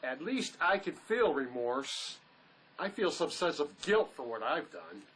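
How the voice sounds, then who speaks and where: A man's voice: a little high, very animated, measured pace, a bit bright, slightly rough, exaggerated voice, low-quality recording. A man acting an anxious, remorseful fugitive who plays peacemaker in a drama reading, earnest and pleading. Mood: defensive.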